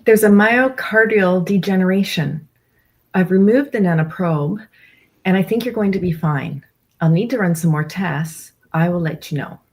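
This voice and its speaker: A woman playing a calm, reassuring starship doctor in an amateur radio play, clinical and matter-of-fact. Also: fairly low pitch, moderate pace, natural voice. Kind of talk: amateur radio theatre script read.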